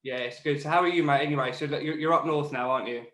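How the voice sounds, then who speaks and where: A man's voice: a little high, very fast, fairly bright tone. Chatty Geordie man in an echoey room. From Newcastle, England. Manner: chatty, matey, leading questions. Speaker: podcast host. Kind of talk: casual interview.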